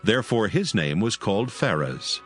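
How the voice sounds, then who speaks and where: A man narrating an archaic scripture text in a measured, solemn reading. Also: lowish voice, big pitch swings, soft background music.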